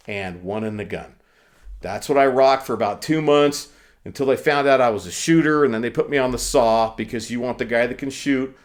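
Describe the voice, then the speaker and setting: A young man hosting his own channel, sick and losing his voice, talking casually and profanely to camera through a condenser mic in a room with poor acoustics. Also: mid-range voice. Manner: loose, profane, opinionated. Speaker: firearms channel host. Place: indoor lounge room.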